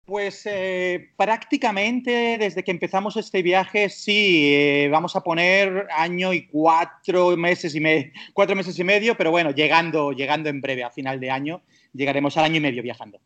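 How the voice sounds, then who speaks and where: A man with an accent from Spain speaks in a voice a little higher than most men's. He is a guest in a casual interview and often hesitates, circling back to rephrase what he says. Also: steady tone, moderate pace, fairly bright tone. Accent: Spanish from Spain. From Barcelona area, Spain. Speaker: podcast guest.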